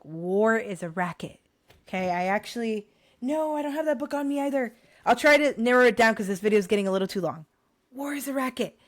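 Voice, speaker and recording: A young woman vlogger, hoarse from recent illness, talking casually and animatedly to her viewers about books. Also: mid-range voice, dry recording, studio quality. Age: young adult. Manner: animated, addresses viewers as guys, many restarts. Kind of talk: casual direct-to-camera talk.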